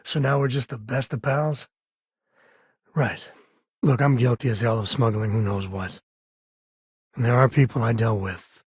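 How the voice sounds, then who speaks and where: A man voicing a rugged, good-hearted space explorer and father in a video game, with a husky rasp and a folksy, earnest way of talking. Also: mid-range voice, a little slow, long pauses, no echo, clean studio sound. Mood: guarded. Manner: folksy, colloquial, earnest delivery.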